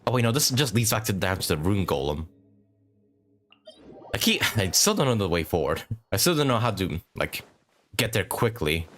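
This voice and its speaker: A man giving casual, halting and rambling live commentary while playing a video game. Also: fairly low pitch, big pitch swings, a bit bright. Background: video game music.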